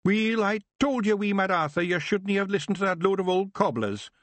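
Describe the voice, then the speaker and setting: A man voicing a tiny, rowdy male fairy warrior with a Scottish accent and a high, squawking, boisterous manner. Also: lively intonation, moderate pace, a bit bright, no room sound.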